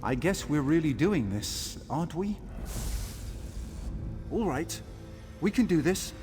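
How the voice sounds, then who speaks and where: An earnest young scribe from a fantasy game, speaks slowly in a fairly high male voice. He sounds inexperienced and anxious, halting as he talks. His words ring in a long reverb over music. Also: very animated. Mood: nervous. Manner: anxious, halting, self-reassuring. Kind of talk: video game character acting.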